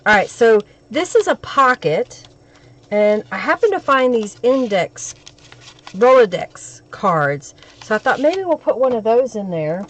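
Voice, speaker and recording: A woman with a crackly, hoarse voice from a cold, hosting a crafting live stream and chatting with viewers as she works. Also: fairly high pitch, big pitch swings, unhurried, a bit bright.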